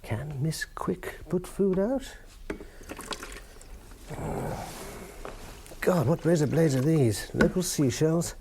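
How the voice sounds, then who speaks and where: An actor playing a sardonic visiting lover in a radio drama, with dry, mocking asides. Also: male voice, fairly high pitch, expressive, measured pace, vocal fry, background noise. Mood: scornful muttering. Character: sardonic visiting lover, wry about the rich. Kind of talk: radio drama acting with inner monologue.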